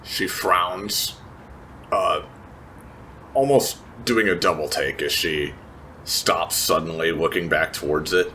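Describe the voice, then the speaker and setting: A man's voice: sits low, takes it slowly, pauses often, sharp tone, slight background noise, low-quality recording. A man playing a tabletop roleplaying game, describing his character in a thoughtful, tentative way. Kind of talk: describing own character's actions in third person. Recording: music playing behind. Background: background music.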